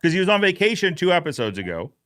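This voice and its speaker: A man with a somewhat high voice hosts an informal recap conversation. He retells the story with animation, slipping into quoted dialogue as he goes. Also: very animated, quick pace, a bit bright. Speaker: show host. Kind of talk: casual recap chat with a co-host.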